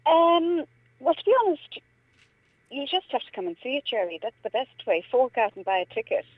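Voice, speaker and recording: A woman's voice: high voice, sing-song, a little fast, dry recording. An Irish woman speaking as a guest in a casual radio interview. She is playful and chatty, wandering through her answers, over a dry, thin phone-like connection. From Limerick, Ireland.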